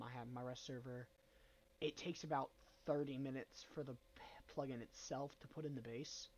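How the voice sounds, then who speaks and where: A man's voice: medium pitch, a little hiss or hum, low-quality recording. Young man with a cold, rambling. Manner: rambling, hesitant, many ums and self-corrections. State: nasal from a cold. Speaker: gaming channel host. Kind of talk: casual game walkthrough.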